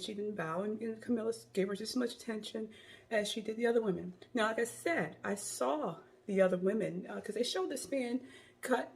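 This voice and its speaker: A woman with a cold and a hoarse voice, giving chatty, rambling opinion commentary into her phone. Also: mid-range voice, darkish tone. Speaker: commentary vlogger.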